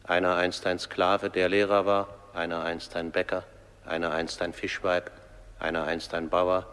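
An actor playing the solemn narrator of an underworld trial in a radio drama, measured and declamatory. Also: male voice, low-pitched, little pitch movement, fairly bright tone, smooth. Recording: long reverberant hall.

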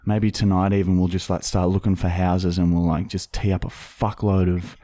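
An Australian man, perhaps in his thirties, making a casual prank phone call as a podcast host, talking in a sweary, halting, matter-of-fact way into a close studio mic. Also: low voice, level tone, mellow. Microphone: close podcast microphone on boom arm.

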